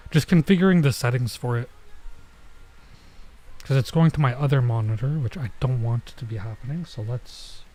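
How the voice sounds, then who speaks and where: A man's voice: medium pitch, dark tone. A man giving casual gameplay stream commentary, muttering to himself in mildly exasperated slang. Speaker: streamer, VTuber playing a visual novel.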